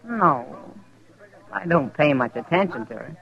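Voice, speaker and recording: A woman's voice: low-pitched, lively intonation, unhurried, vocal fry, exaggerated voice. An actress playing a worldly, plain-spoken American frontier woman in an old-time radio western. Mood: guarded, curious. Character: guarded, worldly frontier woman, casual and curious. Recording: dull vintage radio recording.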